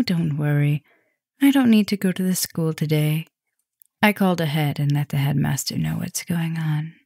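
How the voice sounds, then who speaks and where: Casting: woman to play affectionate soft-dom vampire professor for ASMR roleplay; lowish, unhurried, breathy, slips into whispers, teasing. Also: no echo, studio quality, exaggerated voice. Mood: relaxed, caring.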